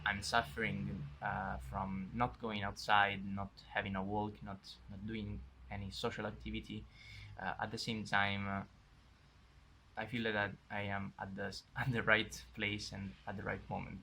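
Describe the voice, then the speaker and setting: A twenty-two-year-old man with a low, gravelly, bright-edged voice. He speaks flatly and reflectively, hesitating often. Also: normal speed, a little hiss or hum. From Italy. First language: Italian. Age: 20s.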